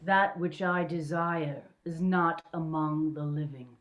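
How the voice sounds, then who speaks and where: An actress in a radio play voicing an entranced undead vampire woman, hushed, eerie and drawn-out. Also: deep voice, steady tone, highs cut off.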